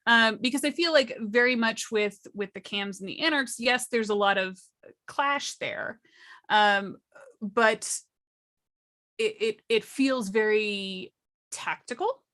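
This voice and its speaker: A woman on a casual gaming panel, speaking expressively and thoughtfully, with pauses to find her words. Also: on the high side, bright, forceful tone.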